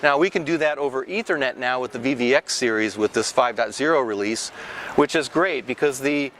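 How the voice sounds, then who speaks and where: A man in his fifties giving an informal, explanatory product demonstration as a company representative, with halting delivery and frequent false starts. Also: medium pitch, fairly bright tone. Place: large indoor exhibition hall booth. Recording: clear ambient trade show floor noise.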